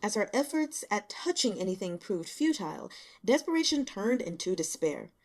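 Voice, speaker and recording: A woman reading fiction aloud as an audiobook narrator, in an expressive storytelling style. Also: mid-range voice, normal speed. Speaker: audiobook reader.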